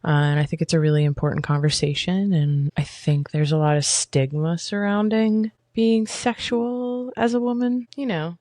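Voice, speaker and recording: A young adult woman with a deep voice, a podcast guest talking candidly and chatting easily. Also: fairly even intonation, moderate pace, few pauses, warm tone, no room sound, studio quality. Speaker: podcast guest, boudoir photographer. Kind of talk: casual chat.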